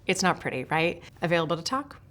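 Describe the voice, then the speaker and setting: A woman's voice: lowish voice, sing-song, quick pace, fairly bright tone, a little hiss or hum. A woman from Switzerland, a sales trainer, giving a scripted lesson straight to the viewer in a direct, emphatic way. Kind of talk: scripted instructional talk to camera.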